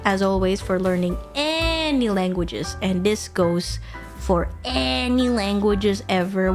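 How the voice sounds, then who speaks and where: A young woman chats loosely on a home stream, swearing freely and breaking into laughs as she answers her viewers. Her voice sits at an ordinary middle pitch, with soft background music playing faintly under her talk. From Indonesia. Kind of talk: casual chat stream.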